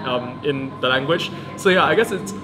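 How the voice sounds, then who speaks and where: A young man, a native Chinese speaker, talking quickly and chattily about his debate path. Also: mid-range voice, noisy background. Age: young adult. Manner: quick, chatty, laughs easily. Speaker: debater, guest.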